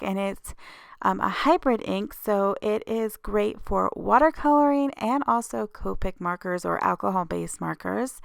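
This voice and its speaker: A woman's voice: mid-range voice, creaky, fairly bright tone, clean tone, dry recording, studio quality. A woman with a slightly scratchy voice hosts a casual craft tutorial voice-over, explaining each step informally.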